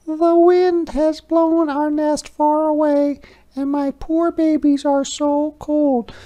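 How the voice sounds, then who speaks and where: A tiny storybook mother mouse. The voice is extremely high and squeaky, fussing like an anxious, grateful parent. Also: flat delivery, takes it slowly, dark tone. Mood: distressed.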